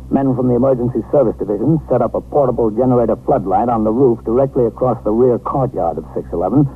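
Casting: actor for level-headed American male police captain, measured and factual, vintage radio crime drama with band-limited, faintly noisy sound. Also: medium pitch, lightly acted. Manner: measured, matter-of-fact. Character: calm, reasonable male police captain, sympathetic and methodical. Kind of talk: 1950s radio police drama, scripted narration and dialogue. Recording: noisy, tinny 1950s radio recording.

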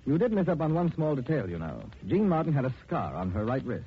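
An actor playing an urbane, wry, unflappable gentleman detective, polite and suave, in a 1940s radio drama. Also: mid-range voice, very animated, quick pace, slightly rough, full character voice. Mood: cool, wry. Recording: tinny, noisy 1940s radio recording.

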